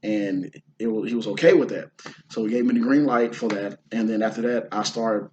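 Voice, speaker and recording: Hoarse guy from houston rambling in a vlog, lowish voice, um and uh everywhere, faint room hum. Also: fairly even intonation, fairly quick, a little mellow, muffled recording. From Houston, Texas area. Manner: rambling, with many fillers and restarts. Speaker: indie filmmaker and actor. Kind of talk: casual vlog talk.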